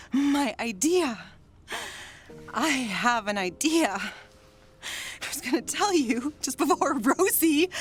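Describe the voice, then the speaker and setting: An actress playing an emotionally volatile, lonely, newly sober wife in a theatrical audio drama monologue. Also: female voice, fairly high pitch. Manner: theatrical, swinging between outbursts and confiding murmurs.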